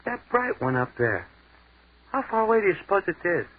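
An actor playing an easygoing young army sergeant with a folksy, slangy way of talking, in a 1940s radio drama. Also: male voice, sits high, big pitch swings, fairly quick, breathy edge, full character voice. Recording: tinny 1940s radio broadcast.

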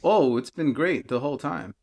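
Man, improv comedy, deadpan, dry and hesitant, medium pitch. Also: normal speed, gravelly.

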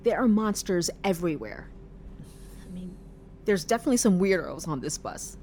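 Chatty, paranoid young woman played by an actress, earnest about odd notions, very lively pitch, audio drama over faint rumbling noise. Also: moderate pace, natural voice. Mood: wary, gossipy. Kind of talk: audio drama acting, conversational.

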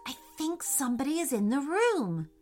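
A woman's voice: sits high, very animated, normal speed, darkish tone, soft background music, voice pushed up. A woman voicing a timid, curious little boy in a children's bedtime story, tentative and earnest. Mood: hushed worry.

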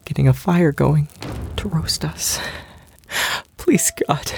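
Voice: mid-range voice, slow pace, vocal fry, a little mellow, a little hiss or hum. An actor playing an anxious, eager young male survivor in an audio drama. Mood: terrified.

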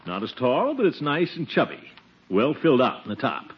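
Pompous middle-aged uncle, soft-hearted blusterer, hugely animated swoops, 1940s radio comedy, muffled recording. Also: male voice, mid-range voice, a little fast, husky, exaggerated voice. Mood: jovial, blustering.

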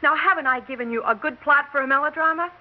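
A woman's voice: high-pitched, fast talker, close to an everyday voice. An actress with an American accent playing a nervy, determined young woman playwright in a 1960s radio drama. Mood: insistent, persuasive. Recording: muffled 1960s radio recording, noticeable hiss.

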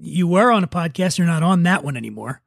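Man, high-pitched, podcast host, informal, conversational, dry close recording. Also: animated, fast talker, creaky, no echo. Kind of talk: casual podcast chat.

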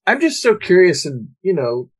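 American male co-host, husky, lively intonation, casual and curious. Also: high voice, moderate pace. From United States. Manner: curious, enthusiastic storytelling with animated swings. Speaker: podcast co-host. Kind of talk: casual conversation.

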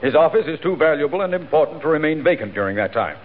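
An actor with an American accent playing a formal, dry small-town mayor in 1940s radio comedy. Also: male voice, a little high, big pitch swings, fast. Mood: formal, businesslike. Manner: formal, official. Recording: tinny old radio recording, faint noise.

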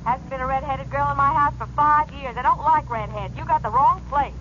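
A very high-voiced, cranky landlady, curt and indignant at being bothered late at night. She comes over a telephone in a 1940s radio play, muffled and lightly hissy. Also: a little fast, fairly bright tone. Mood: irritated. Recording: dull noisy old radio recording.

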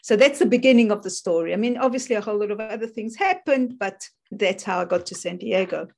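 A middle-aged woman with a South African accent chats easily as a guest in an interview. She rambles, restarts her sentences and laughs often. Her voice sits at an ordinary middle pitch. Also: fast talker, creaky, a little mellow. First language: English. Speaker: guest, fear coach. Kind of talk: casual interview chat.

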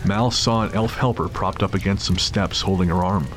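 A man's voice: low voice, moderate pace. A man narrating a scripted dramatic story as the narrator of an audio drama. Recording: clear background noise of city ambience.

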